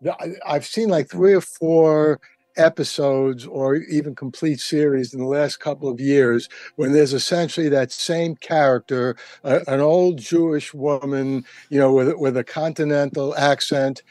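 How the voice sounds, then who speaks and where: A man speaking as a panelist in a casual roundtable, with a halting, stammering delivery. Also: a little high, fairly even intonation, darkish tone, no echo.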